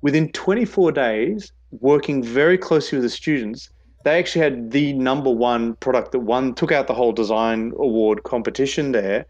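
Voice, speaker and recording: A man's voice: medium pitch, moderate pace, darkish tone. A man being interviewed on a podcast, talking enthusiastically and restarting words as he goes. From Australia. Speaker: guest, innovation expert. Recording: dull lo-fi sound.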